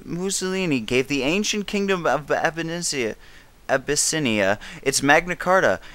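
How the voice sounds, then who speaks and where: Man acting a bright-voiced, declamatory wartime British statesman. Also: a little high, clear, resonant tone, dry recording. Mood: sardonic. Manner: declamatory, emphatic, dramatic stresses, occasional stumbles. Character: grave, defiant elder British statesman making wartime broadcast. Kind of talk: 1930s wartime political radio address, oratorical.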